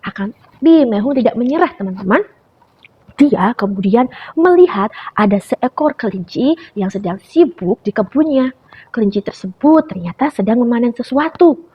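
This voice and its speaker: Young woman reading a kids story, voice jumping all over, kinda breathy, muffled lo-fi sound. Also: a little high, normal speed. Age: young adult. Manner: animated, calls listeners "friends". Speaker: storyteller for preschool children. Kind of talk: children's storytelling. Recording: dull lo-fi recording.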